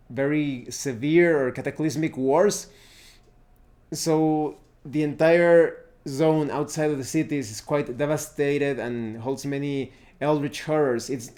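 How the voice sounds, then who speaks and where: A man presenting an unscripted game review, explaining things deliberately and often pausing mid-sentence. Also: fairly high pitch, mellow. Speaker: tabletop RPG reviewer, presenter.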